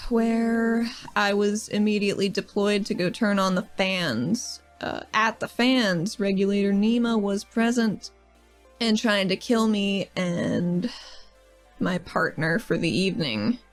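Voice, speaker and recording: Casual woman roleplaying a player character. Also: medium pitch. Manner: casual, rambling recap with fillers and laughs. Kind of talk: roleplaying her character in a tabletop game.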